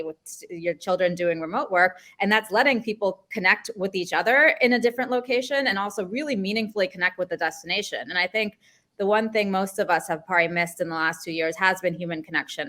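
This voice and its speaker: A woman's voice: on the low side, sharp tone. A woman from Canada, a travel journalist speaking fluently and thoughtfully on a panel. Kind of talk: panel discussion.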